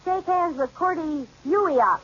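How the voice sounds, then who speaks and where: An actress in a 1940s radio comedy playing a scatterbrained, wisecracking young wife who gets flustered easily. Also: female voice, very high voice, takes it slowly, fairly bright tone, heavily acted. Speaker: housewife. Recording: muffled old radio broadcast, heavy hiss.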